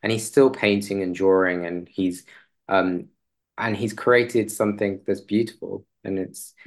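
A man speaking as an interview guest in a conversational way, hesitant and halting, often rephrasing as he goes. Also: low voice, steady tone.

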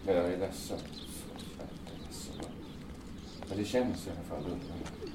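Male actor as earnest troubled man, low voice, hesitant delivery, noisy distant recording. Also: lively intonation. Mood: sad, subdued. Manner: hesitant, repeats himself. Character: troubled, sad, earnest man recovering from despair. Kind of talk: naturalistic audio drama acting. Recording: echoey, distant, noisy with birds.

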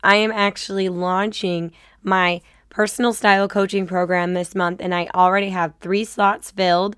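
A woman hosting a casual faith and style podcast, slightly raspy from a cold, upbeat and encouraging. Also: mid-range voice, little pitch movement, moderate pace, a bit bright, dry recording, studio quality. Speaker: podcast host and style coach.

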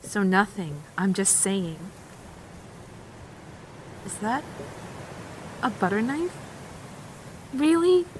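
A woman's voice: mid-range voice, big pitch swings, drawn-out words, long pauses, a little hiss or hum, low-quality recording. A woman playing a tough, blunt female survivor with a curt, scornful way of talking in an audio drama. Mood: scornful, wary.

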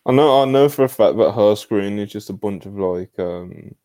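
A man's voice: on the low side, moderate pace, mellow, no room sound. Dry-sounding British man hosting. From England. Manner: laid-back, laughing asides. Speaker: podcast host. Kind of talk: casual chat reading comments. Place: home setup on a video call.

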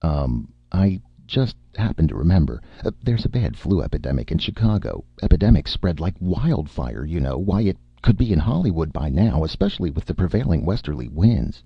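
A man's voice: deep voice, sing-song, moderate pace, gravelly, hardly any pauses, husky, no echo, dull audio, pitched above the performer's own voice, heavily acted. A man voicing a cowardly, easily panicked man in a story, with hesitant, stammering delivery and shaky bravado. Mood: nervous, hesitant.

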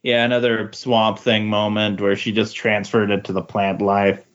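A man's voice: on the low side, level tone, normal speed. A man chatting casually about comic books as a podcast co-host, in a hesitant, agreeable way. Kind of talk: casual comic book chat. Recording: dull, lo-fi audio.

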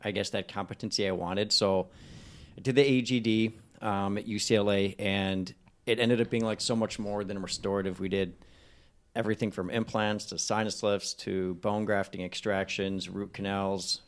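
A man with a lowish voice, a dentist guest on a podcast. He explains his training earnestly and in detail, with the hesitations of casual talk. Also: level tone, moderate pace.

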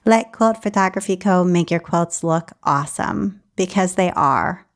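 A woman's voice: lowish voice, unhurried. Upbeat woman hosting a podcast. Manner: friendly, upbeat, laughs often. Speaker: podcast host. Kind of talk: podcast interview and read ad.

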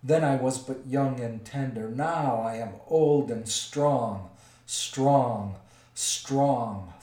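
A man voicing an ancient, vain and boastful male dragon, slow and menacing, in an echoey room a little way from the mic. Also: mid-range voice, mellow, far from the mic. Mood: gloating.

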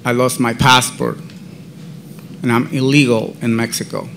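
A man speaking in unhurried, careful phrases, his Central American Spanish accent strong and his English halting. He recounts a personal faith testimony at a mid-range pitch. Faint noise sits under the voice. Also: level tone, vocal fry, fairly bright tone. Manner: halting, non-native English; slow, deliberate. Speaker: podcast guest.

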